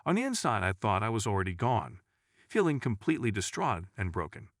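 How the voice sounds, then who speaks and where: A male storyteller narrates a scripted first-person account. His voice sits fairly low in pitch. The recording is dry, with no audible room around the voice. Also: big pitch swings, a little fast, dry recording.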